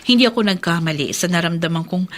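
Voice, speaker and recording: An actress with a Filipino accent playing a tired but kindly, frank housewife in a radio drama. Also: female voice, fairly low pitch, fast talker, slight background noise, lightly acted. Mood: curious, kindly.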